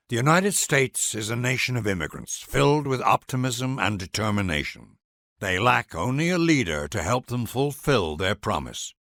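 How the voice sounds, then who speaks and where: A man's voice: on the low side, a bit bright, dry recording, clean studio sound. A man reading scripted grand historical narration for a video game in a solemn, formal, stately manner.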